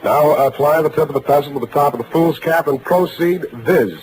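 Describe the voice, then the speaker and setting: An actor playing a cocky, wisecracking hard-boiled private detective in a 1940s radio drama, with glib, dry banter. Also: male voice, on the high side, brisk, close to an everyday voice. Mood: teasing, mock-official. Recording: noisy vintage 1940s radio broadcast.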